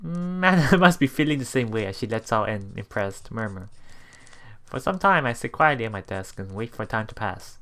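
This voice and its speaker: A man reading a visual novel aloud as a let's play streamer, haltingly, with repeats and self-corrections. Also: lowish voice, lively intonation. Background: soft game music.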